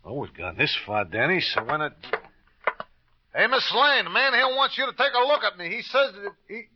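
Sardonic murder suspect, old muffled radio. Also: male voice, high voice, very animated, moderate pace. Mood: loud, brash. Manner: sardonic, slangy, over-obliging. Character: boozy, rueful ex-husband murder suspect, needling and mocking. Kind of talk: 1950s radio crime drama acting. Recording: dull lo-fi old radio recording.

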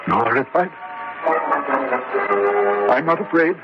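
An actor playing a haunted, proud scientist in a melodramatic horror radio drama, speaking deliberately with dramatic pauses. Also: male voice, fairly high pitch, expressive, loud music behind the voice. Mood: haunted, spellbound. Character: grown scientist prodigy, haunted, proud, intense former pupil. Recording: muffled, noisy radio drama recording.

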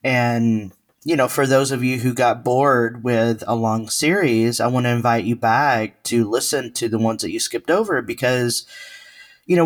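A man with an everyday mid-range voice co-hosts a podcast. He speaks earnestly and at a measured pace, letting filler pauses sit between his points. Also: medium pitch. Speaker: podcast co-host. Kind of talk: casual podcast chat.

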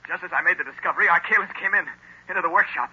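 An actor playing an earnest, strained man in a 1930s radio drama, raspy and emphatic. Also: high-pitched, rapid-fire. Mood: anguished. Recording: dull 1930s radio recording.

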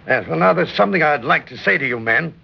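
An actor playing a proud, earnest, warm-hearted merchant captain in an old-time radio drama, with a gravelly, husky voice. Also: male voice, a little high, lively intonation, quick pace, heavily acted. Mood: warm, moved. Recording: dull vintage radio recording.

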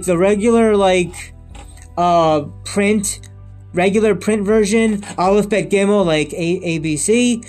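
A man's voice: sits high, a little mellow. A man in his thirties talking straight to his viewers in a loose, rambling monologue, circling back and restarting often. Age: 30s. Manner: rambling and repetitive, with many restarts and emphatic lists. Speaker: vlogger. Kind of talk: unscripted monologue to camera.

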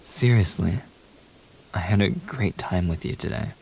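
Guy doing a playful pop star boyfriend asmr, really slow talker, affectionate and teasing, bit of hiss. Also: fairly low pitch, gravelly, low-quality recording. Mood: relaxed, affectionate. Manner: casual, teasing, addresses the listener intimately. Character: famous young pop idol boyfriend, playful, affectionate, eager. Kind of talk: boyfriend ASMR audio roleplay. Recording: steady hiss behind the voice.

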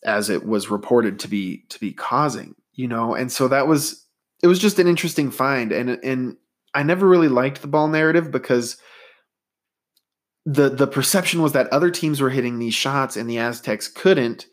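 A man's voice: mid-range voice, moderate pace. A man hosting a solo sports podcast, talking in a rambling, conversational way with a stuffy nose. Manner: conversational, rambling, data-minded. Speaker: podcast host.

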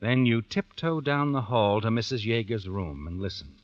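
An actor playing an ominous, knowing narrator of a vintage radio mystery, speaking deliberately to the heroine. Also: male voice, on the low side, animated, no echo, lightly acted. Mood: ominous, insinuating. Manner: insinuating, deliberate. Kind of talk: dramatic second-person radio suspense narration. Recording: dull old radio broadcast recording. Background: dramatic music.

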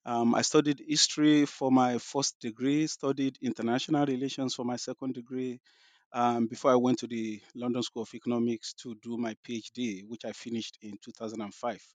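A man's voice: mid-range voice, level tone, a little fast, dry recording. A middle-aged man with a Nigerian accent, answering interview questions thoughtfully, with many restarts and "you know" fillers. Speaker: guest scholar.

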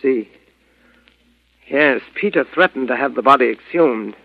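An actor playing a secretive, defensive doctor in an old radio drama, with a gravelly voice. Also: male voice, mid-range voice, measured pace, no echo. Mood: uneasy, defensive. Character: secretive male doctor and stepfather, defensive under suspicion. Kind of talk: 1940s radio drama acting. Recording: dull vintage radio recording.

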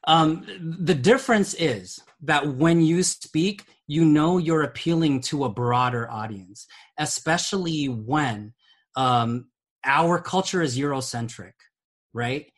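A man's voice: on the high side, slow pace. Earnest man thinking aloud on a podcast. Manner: earnest, searches for words with many "um"s and "right?". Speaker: podcast co-host. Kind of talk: casual podcast discussion.

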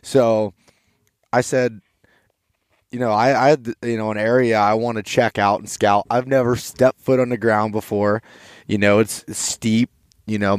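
A man's voice: lowish voice, clear, resonant tone, no room sound, clean studio sound. A man in his twenties hosting a casual, enthusiastic, informal chat outdoors. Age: 20s. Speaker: podcast host. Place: outdoors by a campfire.

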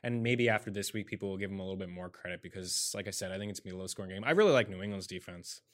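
An easygoing man with a fairly low voice, chatting as a podcast guest. He sounds relaxed and agreeable. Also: racing. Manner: easygoing, agreeable, laid-back. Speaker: guest sportswriter. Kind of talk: casual sports chat.

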